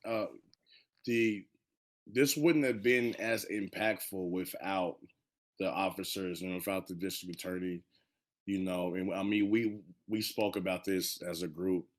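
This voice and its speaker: A man giving casual, heartfelt remarks on a panel, halting and repeating phrases for emphasis. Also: low voice, steady tone. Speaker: monologue storyteller on a panel.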